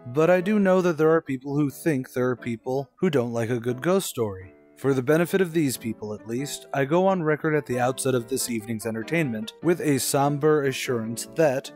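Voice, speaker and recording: A man's voice: mid-range voice, darkish tone. Formal male host of a suspense show. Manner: formal, wry, old-fashioned. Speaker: radio play host. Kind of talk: scripted theatrical introduction.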